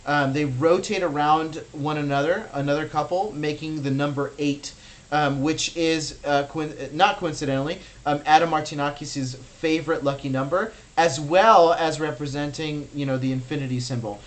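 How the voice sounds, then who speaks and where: A man's voice: fairly high pitch, steady tone, moderate pace. Chatty American man, filler-heavy. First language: Russian. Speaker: virtual gallery tour guide. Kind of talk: casual guided tour.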